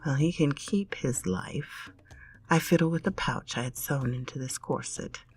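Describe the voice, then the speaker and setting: Storyteller, woman, husky whispery read, intimate. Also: deep voice, measured pace, darkish tone, soft background music. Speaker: story narrator. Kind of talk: dramatic storybook reading.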